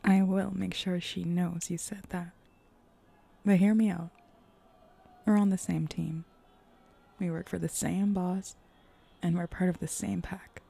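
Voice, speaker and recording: Woman as a husky, teasing hellhound seductress. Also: warm tone, heavily acted. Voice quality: low, husky, creaky. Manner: slow, teasing, seductive, drifting into breathy whispers. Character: flirty, teasing female hellhound, a sly demon pack hunter. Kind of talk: roleplay ASMR audio drama.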